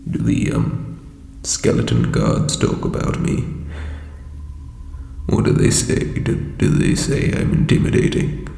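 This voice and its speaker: A man playing an ancient, insecure undead lich king in a comedic audio roleplay, with a breathy croak and hesitant, pompous phrasing. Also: rumbling low, monotone, takes it slowly, pauses often, mellow, heavily acted. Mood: self-doubting. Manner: hesitant, self-doubting, theatrical formal phrasing. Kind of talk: scripted comedic audio roleplay addressed to listener. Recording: reverberant echoey hall, faint background noise.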